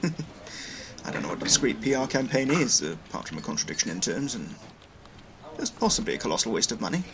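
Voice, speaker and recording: Chatty wry space station logistics man, very animated delivery, sci-fi audio play. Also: medium pitch, brisk, pauses often. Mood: wry, expansive. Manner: chatty, wry, long-winded explanations. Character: brisk, wordy, wry male space station logistics manager. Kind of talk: sci-fi audio drama acting.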